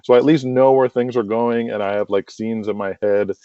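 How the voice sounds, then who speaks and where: A man's voice: medium pitch, fairly even intonation, a little fast, mellow. A man being interviewed casually, explaining his work at length in a rambling, conversational way full of filler phrases. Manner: rambling, explains at length with frequent "you know" and "like". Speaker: interview guest, writer of a wrestling audio drama. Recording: dull lo-fi call audio, roomy echo.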